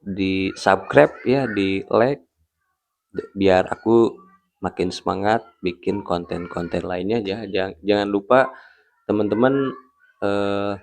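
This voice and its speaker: A man in his early thirties talking casually to camera as a vlogger, in a halting way with frequent tag words at the ends of phrases. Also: on the low side, vocal fry, darkish tone. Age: early 30s.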